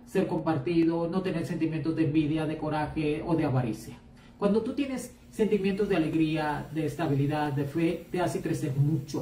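A woman's voice: lowish voice, mellow, slight background noise. Blunt Mexican woman psychic, echoey room. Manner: blunt, outspoken, scolding advice. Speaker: psychic and tarot reader. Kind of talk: casual live chat. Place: home room, indoors. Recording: echoey room, far from mic.

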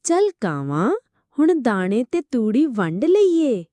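A woman's voice: on the high side, big pitch swings, slow, mellow, dry recording. A woman voicing an innocent, hardworking little female sparrow, urging in simple repeated calls for a children's story. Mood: eager urging.

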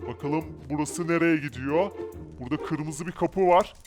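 A man's voice: on the high side, moderate pace. A man narrating gameplay energetically, addressing viewers and urging them on. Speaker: gaming video creator. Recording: loud music behind the voice. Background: clear background music.

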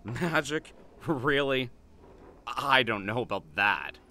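Male actor, fantasy drama tavern patron, curious, doubting, forceful bright tone, lively pitch. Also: slow, full character voice. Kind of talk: fantasy audio drama acting.

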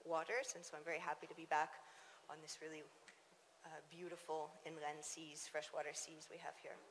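Canadian woman scientist doing a conference talk, kinda halting, big echoey hall. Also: sits low, flat delivery, a little hiss or hum. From Toronto, Canada. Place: large dark conference auditorium with audience. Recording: reverberant auditorium, long hall echo.

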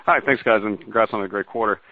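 A man's voice: on the low side, fast, no echo. A man working as a financial analyst, putting a question on an earnings conference call over a phone line.

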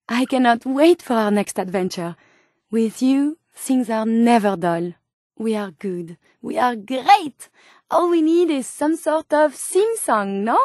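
Casting: earnest female robot scientist for a video game, French accent, high register, formal and precise, very dry close sound. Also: a little mellow, dry recording. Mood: tender, devoted. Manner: formal, precise, slightly quaint phrasing. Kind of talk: video game companion dialogue.

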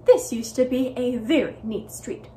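A woman's voice: on the high side, a little slow, mellow, slight background noise, natural voice. A woman voicing fussy, disapproving neighbors in a children's picture book, exclaiming and scolding. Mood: shocked.

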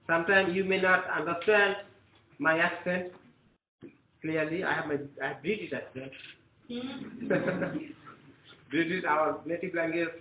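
A man's voice: sits high, slight background noise. A man whose first language is Bengali, lecturing a class in an earnest, encouraging way and often repeating himself. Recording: echoey room, tinny phone-like sound.

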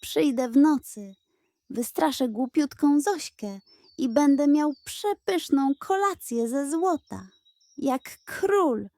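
A woman's voice: high voice, animated, slow, dark tone, dry recording, voice pushed up, full character voice. A woman voicing a lazy, greedy, sly male pug in a children's storybook, drawling and mumbling, sugary when he schemes. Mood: scheming.

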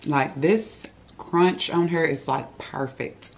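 Woman in her thirties, low voice, unhurried, chatty home vlog, faint room hum. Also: creaky. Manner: chatty, addresses viewers as y'all, eats while talking. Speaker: vlogger. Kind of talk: casual eating vlog chat. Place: home living room, indoors.

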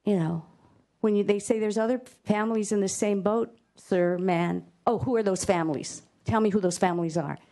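A woman's voice: mid-range voice, moderate pace, dark tone. A woman, a parent, making an impassioned public comment, heartfelt with stumbling restarts. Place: state hearing room.

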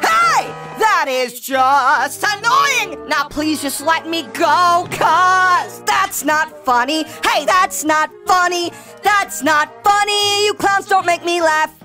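An actor voicing an excitable young children's cartoon character, easily panicked, exclaiming in exasperation over music. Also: extremely high pitch, takes it slowly, hardly any pauses, exaggerated voice. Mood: exasperated. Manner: exclamatory, shouting often. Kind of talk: animated children's cartoon acting. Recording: music behind the voice.

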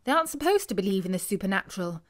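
A woman reading a scripted bedtime story for children in an expressive way, acting out the dialogue. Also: mid-range voice, very fast.

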